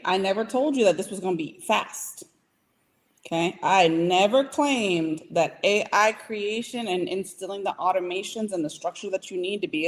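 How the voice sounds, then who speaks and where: A woman business consultant giving advice in a casual podcast chat, emphatic and repeating points for stress. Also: mid-range voice. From Puerto Rican heritage, from the US Northeast.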